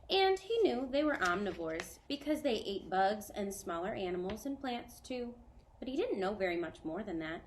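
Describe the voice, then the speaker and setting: A woman teacher reading a children's novel aloud to a student, clear, measured and gentle. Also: mid-range voice, big pitch swings, a little hiss or hum.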